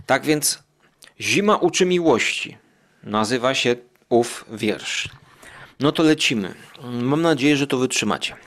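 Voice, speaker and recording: Male podcast host, unhurried, rambling and conversational, mid-range voice. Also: mid-range voice, lively intonation, fairly bright tone. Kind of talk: casual solo podcast talk with poem reading.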